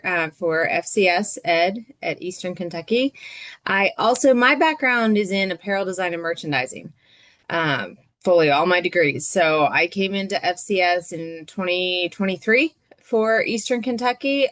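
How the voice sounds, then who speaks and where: Upbeat woman, low voice, dry sound. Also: fairly bright tone, no echo. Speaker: teacher educator. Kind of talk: casual self-introduction.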